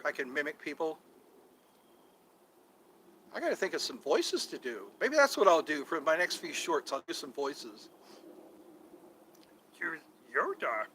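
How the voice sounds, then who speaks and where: A middle-aged man rambles through a casual vlog, joking and trying out impressions. His voice sits on the high side for a man and swoops around a lot. The tone is bright and a little edgy. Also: a little hiss or hum. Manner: rambling, jokey, tries out voices. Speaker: vlogger.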